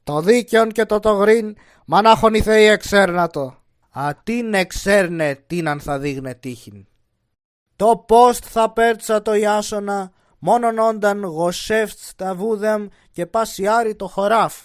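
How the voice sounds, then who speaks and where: A man's voice: sits high, fairly even intonation, lightly acted. A man voicing commanding figures of an ancient Greek cartoon myth, declamatory, with a Pontic Greek accent. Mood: stern. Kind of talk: scripted cartoon dubbing. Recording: music under the voice. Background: background music.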